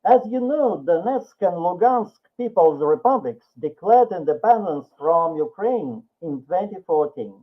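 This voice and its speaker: An older man with a Russian accent giving a formal, deliberate presentation as an academic panelist over an online call. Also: sits high, expressive. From Soviet Russia. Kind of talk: prepared seminar presentation. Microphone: video call. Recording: tinny phone-like online call.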